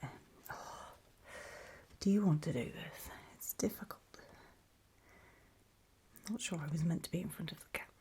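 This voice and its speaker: A woman playing a nervous, apologetic apocalypse survivor in a horror ASMR roleplay, in a soft, breathy whisper with a halting, self-conscious delivery. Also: low voice, close to an everyday voice. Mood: hesitant, subdued. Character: nervous, apologetic female zombie-apocalypse survivor recording a warning.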